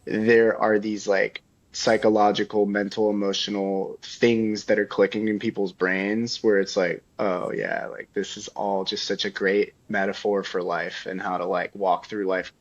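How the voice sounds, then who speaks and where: A man's voice: on the low side, flat delivery, a little slow. A man co-hosting a podcast, chatting casually and enthusiastically with lots of "like". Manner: enthusiastic, peppered with "like". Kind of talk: casual chat. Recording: dull, muffled sound.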